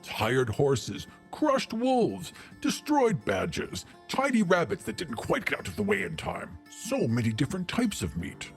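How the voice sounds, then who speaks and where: Man playing a slow, theatrical, sly bargaining priest. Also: a little high, wildly swooping pitch, soft background music, exaggerated voice. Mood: tempting. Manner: persuasive, theatrical, formal. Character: sly, courtly male wandering priest, smooth-talking bargainer.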